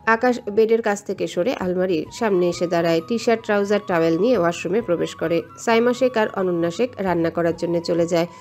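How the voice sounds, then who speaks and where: A woman's voice: lowish voice, brisk, hardly any pauses, a little mellow. A woman reading a scripted romantic story as its narrator. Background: background music.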